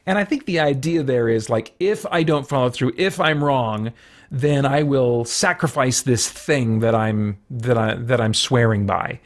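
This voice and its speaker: A man hosting an informal Bible study for an online audience, talking in a casual, halting way as he thinks aloud with frequent asides. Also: medium pitch. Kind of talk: informal sermon, conversational Bible teaching.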